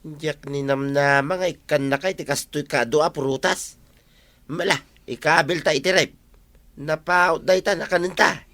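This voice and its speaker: A man's voice: fairly high pitch, steady tone, moderate pace, a bit bright. A man with an Ilocano accent playing a folksy, chatty village husband in a radio comedy drama. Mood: puzzled.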